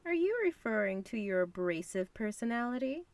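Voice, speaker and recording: A woman playing a composed, knowing divine being in an audio drama, with frank, measured and formal phrasing. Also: fairly high pitch, big pitch swings, vocal fry, dry recording. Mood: frank, teasing.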